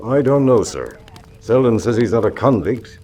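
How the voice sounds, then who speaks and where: A man's voice: medium pitch, very animated, moderate pace, dark tone, natural voice. A man playing a deferential, uneasy manservant in a classic radio drama, with a subdued, wary manner.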